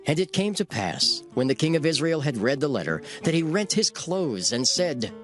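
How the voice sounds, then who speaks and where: A man narrating dramatized scripture in a measured, solemn storytelling manner. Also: fairly high pitch, sing-song. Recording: faint music behind the voice.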